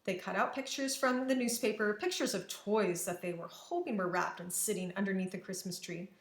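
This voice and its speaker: Expressive woman storyteller in an echoey room. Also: medium pitch, fairly quick. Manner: dramatic, careful phrasing. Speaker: storybook reader. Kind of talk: expressive children's read-aloud narration.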